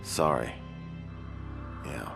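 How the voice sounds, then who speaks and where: An actor playing a grave, burdened American president in a video game, with an American accent. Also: male voice, drawn-out words, over loud music. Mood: grave, resigned. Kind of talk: dramatic video game acting.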